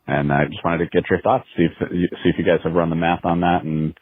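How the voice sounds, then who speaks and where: A man from Connecticut with a husky voice, calling in as a listener with a casual voicemail over a phone line. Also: low-pitched, fairly even intonation, a little fast. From Connecticut, United States.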